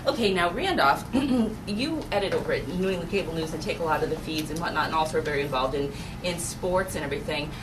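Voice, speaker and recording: A woman's voice: low voice, animated, quick pace. A woman moderating a panel in a conversational style. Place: event room at a live panel discussion. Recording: noisy room, distant microphone.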